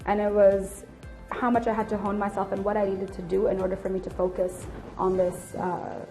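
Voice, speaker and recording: A woman's voice: medium pitch, level tone, a little fast, mellow, soft background music. A woman in her late twenties giving candid, articulate explanations in an interview. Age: late 20s. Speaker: air traffic control officer and instructor being interviewed.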